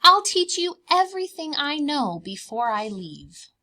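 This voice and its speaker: A woman voicing a gentle, reassuring mother polar bear in a storybook, patient and soothing. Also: high voice, very animated, measured pace, pitched above the performer's own voice, exaggerated voice. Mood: gentle reassurance.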